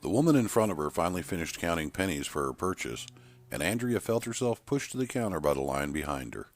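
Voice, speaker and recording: A man with a very low voice narrates fiction in a dramatic storytelling style. His pitch moves around a great deal as he reads, and music plays faintly behind him. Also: sing-song, quick pace.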